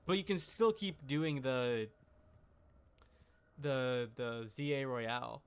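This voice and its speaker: A man giving casual, deadpan joking live commentary while playing a video game. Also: medium pitch, slow. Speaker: game streamer. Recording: clear background noise.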